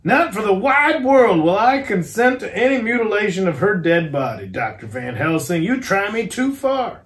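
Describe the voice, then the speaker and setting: A man voicing an anguished, outraged young nobleman who cries out in high, shouting protest. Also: lively intonation, unhurried, reverberant. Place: garage.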